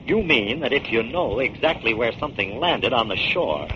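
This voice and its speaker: A decisive detective hero, played by a man in a forties radio mystery. His mid-pitched voice swings expressively and sounds brisk and commanding. It is a noisy old recording. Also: expressive, lo-fi sound, lightly acted. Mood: businesslike. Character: decisive, urgent male crime investigator hero. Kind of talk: 1940s radio crime drama acting.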